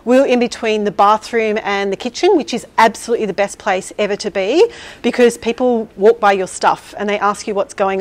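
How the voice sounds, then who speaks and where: A woman giving a conference talk and answering questions, speaking loudly and energetically in a chatty way. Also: mid-range voice. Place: event hall with audience.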